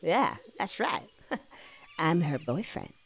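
A man's voice: on the high side, very animated, slow, creaky, slight background noise, full character voice. An actor playing a cocky, wisecracking young male squirrel in a video game cutscene.

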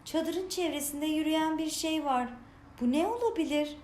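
A woman voicing an eager, curious young child in a children's storybook, with an excited manner. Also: high voice, normal speed, voice pushed up, lightly acted. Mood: curious, uneasy. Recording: roomy echo, some noise.

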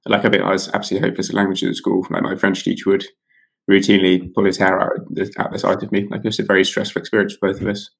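A man's voice: low-pitched, monotone, very fast, vocal fry, a bit bright, breathy edge. A man in his thirties, an author being interviewed over a video call from home, talking casually with many fillers and restarts. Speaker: author guest. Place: home room with curtains.

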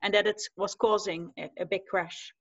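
A woman's voice: mid-range voice, fairly even intonation, moderate pace, a bit bright, highs cut off. A woman from the Netherlands in her forties, co-hosting over a video call and prompting the guest with questions. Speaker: co-host and money coach. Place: home room with bookshelves. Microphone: video call webcam.

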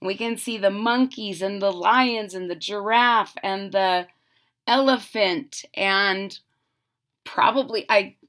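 A middle-aged woman giving an expressive, exaggerated children's read-aloud with casual asides. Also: medium pitch, takes it slowly. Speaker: storyteller reading a picture book. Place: small room at home, indoors.

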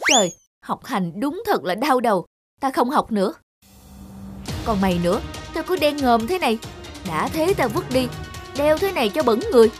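A spoiled rich young man from an animated folk tale, voiced by a woman. He whines and sneers in a raised, pushy tone. Music runs softly behind. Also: a little high, normal speed, voice pushed up, natural voice. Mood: whiny. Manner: whiny, scornful.

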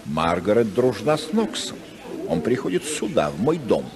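A man's voice: fairly low pitch, big pitch swings, normal speed. A male actor playing a scheming, aggrieved senator in a 1950s radio drama. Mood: sly, aggrieved. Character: smooth, scheming senator, complaining son-in-law. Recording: 1950s radio, murmuring crowd behind.

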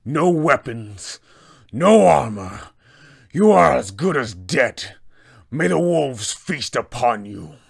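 A man voicing a brutal, menacing town enforcer from a fantasy tabletop game, forceful and commanding. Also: mid-range voice, very animated, slow, creaky, husky, exaggerated voice. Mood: mocking. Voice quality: forceful, raised voice. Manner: commanding, threatening.

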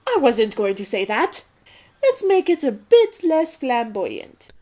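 A woman plays an excitable enchanted castle maid in an audio drama. Her voice is high and swoops widely, at an unhurried pace. She is theatrical and encouraging. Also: full character voice. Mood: flustered.

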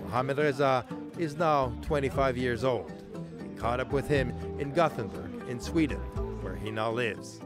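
A male reporter narrating a news feature in a measured, scripted voice-over. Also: mid-range voice. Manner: measured, even storytelling delivery. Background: music bed.

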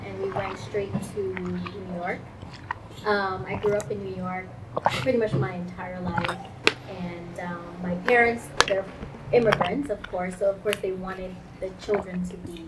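Animated woman from Guyana, lapel mic. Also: fairly low pitch, moderate pace, very noisy. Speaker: interview guest. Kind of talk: conversational interview storytelling. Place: indoors against a pale wall.

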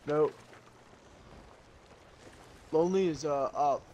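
A man's voice: on the high side, takes it slowly, mellow, dull audio. Casual male gamer in noisy ship ambience. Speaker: co-op gaming partner. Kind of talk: casual gaming chat. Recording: noisy game audio with boat ambience. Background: game sounds of boat and water.

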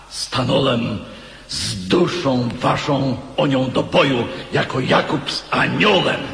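A man playing a proud, embittered king in a radio drama, gravelly and grandly declamatory. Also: medium pitch, fairly bright tone, heavily acted. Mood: bitter. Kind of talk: theatrical radio drama acting. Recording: echoey hall with long reverb.

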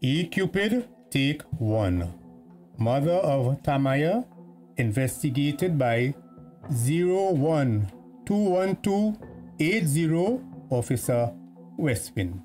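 Slow, animated, pompous police officer. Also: mid-range voice, soft background music. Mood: officious. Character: self-important, officious police officer questioning a suspect. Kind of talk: radio drama dialogue.

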